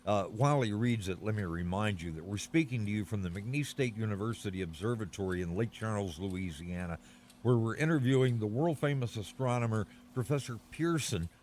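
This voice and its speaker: An actor playing an eager, courteous radio commentator in a 1930s style radio drama, speaking in a formal announcer's manner. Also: male voice, on the low side, fairly quick, a little mellow, a little hiss or hum, natural voice. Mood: urgent, formal. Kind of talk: 1930s radio drama, live news interview.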